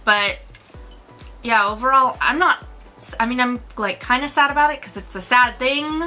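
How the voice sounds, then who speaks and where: Bright, informal woman over faint music. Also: high-pitched, moderate pace. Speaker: co-host of a movie review show. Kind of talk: casual conversational film review chat.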